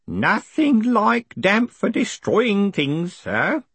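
Fussy old man for an audiobook, earnest n insistent, high pitched, very up and down. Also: wildly swooping pitch, very slow, fairly bright tone, slightly rough, dry recording, exaggerated voice. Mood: shocked, insistent. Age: older. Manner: deferential, earnest, insistent, rambling. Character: fussy, wheezy old postal worker, deferential yet stubborn. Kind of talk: audiobook character acting.

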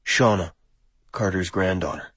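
A man's voice: low-pitched, unhurried, fairly bright tone, husky, no room sound, heavily acted. A man voicing a grim, protective male werewolf in a restrained murmur, as in an audiobook. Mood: wary murmur. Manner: murmuring, almost silent, restrained. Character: hushed, grim, protective two-hundred-year-old male werewolf.

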